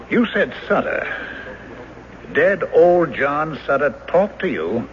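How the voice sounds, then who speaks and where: An actor playing a blunt, skeptical police lieutenant in a radio drama, terse and probing. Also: male voice, a little high. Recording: dull noisy vintage radio drama recording.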